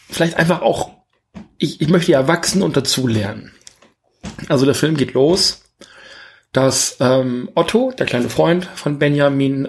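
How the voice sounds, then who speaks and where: A man's voice: mid-range voice, darkish tone. A man hosting a podcast, chatting casually about films in a rambling, jokey, self-correcting way. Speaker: podcast host. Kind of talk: casual film review chat.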